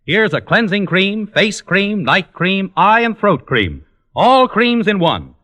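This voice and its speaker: Bright, high-pitched vintage radio announcer man. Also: sing-song, slow pace. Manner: polished, emphatic salesmanship. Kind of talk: 1940s scripted radio commercial.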